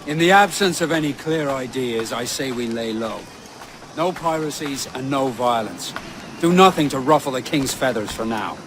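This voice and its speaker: An actor playing a disillusioned, pragmatic pirate leader in a video game, measured and formal with some disdain. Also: male voice, medium pitch, expressive, noisy background, natural voice. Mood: grave. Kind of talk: dramatic video game acting.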